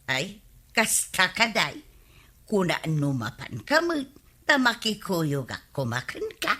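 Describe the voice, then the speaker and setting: A woman's voice: lowish voice, big pitch swings, slow, bright, forceful tone, slightly rough, heavily acted. A woman with an Ilocano accent playing a chatty, pushy, gossipy village wife in a radio comedy drama. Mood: coaxing.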